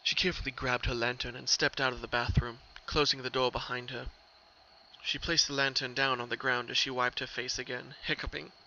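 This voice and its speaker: A man's voice: mid-range voice, level tone, fairly quick, creaky, lo-fi sound. A man giving a dramatic scripted narration of a fan fiction story as the reader. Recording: very loud background noise.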